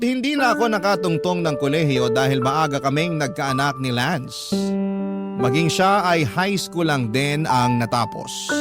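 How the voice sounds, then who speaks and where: A man narrating a first-person letter as a scripted story for a radio drama. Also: fairly high pitch. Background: clearly audible background music.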